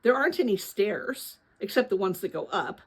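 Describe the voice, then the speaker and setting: A woman voicing an earnest, puzzled boy explorer in a storybook, hushed and thoughtful. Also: mid-range voice, expressive, normal speed, husky. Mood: awed.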